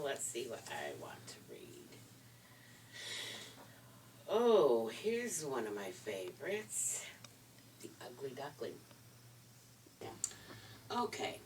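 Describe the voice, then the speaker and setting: Older female storyteller: low, slow-paced, folksy, casual, noisy room recording. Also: a little mellow, recorded from a distance. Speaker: grandmother and storyteller. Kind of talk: casual chat and story reading.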